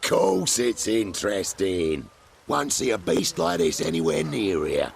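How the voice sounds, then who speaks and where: An actor playing a pompous, swindling street showman with gravelly, theatrical patter in a fantasy game. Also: mid-range voice, very animated, unhurried, slight background noise, heavily acted. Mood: boastful. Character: swindling male street showman boasting of fake monsters. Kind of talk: scripted fantasy game acting.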